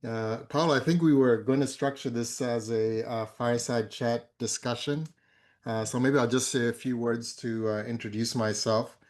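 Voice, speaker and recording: A man in his fifties from Zambia who speaks as a guest in a conference fireside chat, measured and full of hesitant fillers. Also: medium pitch, fairly even intonation. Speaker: board member, guest speaker.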